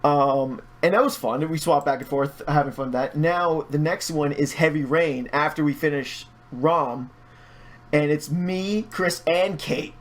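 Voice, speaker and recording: A man with a somewhat high voice talks as a podcast guest. He is casual and opinionated, rambling through his points and swearing freely. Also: a little slow. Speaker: podcast guest, video creator. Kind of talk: casual podcast interview chat.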